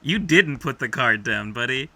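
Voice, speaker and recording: A man's voice: medium pitch, animated, moderate pace, sharp tone, dry recording. A young adult man co-hosting a podcast in casual, argumentative banter, swearing freely as he talks. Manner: profane, argumentative. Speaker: podcast co-host.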